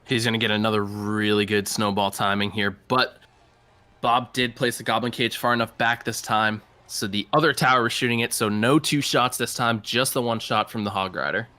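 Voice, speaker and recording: A man's voice: lowish voice, fairly even intonation, normal speed, pushed and bright. An American man from Massachusetts giving analytical live commentary on an esports match. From Massachusetts, United States. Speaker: esports caster.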